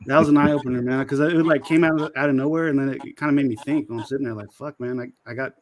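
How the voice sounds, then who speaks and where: A man's voice: medium pitch, fairly even intonation, racing. A man in his forties chatting casually and profanely in a group conversation. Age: 40s.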